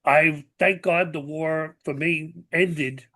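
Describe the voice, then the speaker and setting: Bright-voiced older man hosting a podcast. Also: fairly high pitch, steady tone, takes it slowly. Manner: earnest, plainspoken, uses fillers like "you know" and "like". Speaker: podcast host. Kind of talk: conversational interview.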